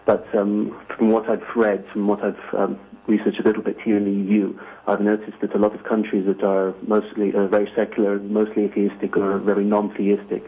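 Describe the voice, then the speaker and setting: A man from Greece phones in to a talk show, his lowish voice coming through a thin, tinny phone line. He talks hesitantly, full of fillers and restarts, with a flat, even delivery. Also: fairly quick. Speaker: caller.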